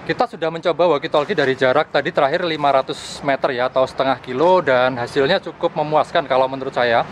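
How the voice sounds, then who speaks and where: A man's voice: fairly high pitch, fast talker, hardly any pauses. A young man, explaining things casually and conversationally to camera as a gadget reviewer. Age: young adult. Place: outdoors in a street and park. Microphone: handheld camera at arm's length. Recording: clear outdoor street and vehicle noise.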